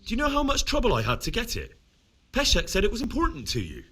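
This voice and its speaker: An actor playing a flustered, indignant young errand runner in a medieval video game, agitated and protesting. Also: male voice, very high voice, wildly swooping pitch, quick pace, sharp tone, slightly rough, exaggerated voice.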